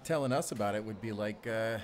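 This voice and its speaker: An actor voicing a chatty, naive American tourist husband in an audio drama, with an American accent and a goofy, over-eager, rambling manner. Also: male voice, on the low side, brisk, soft background music, natural voice. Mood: coaxing, chummy. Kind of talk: audio roleplay acting.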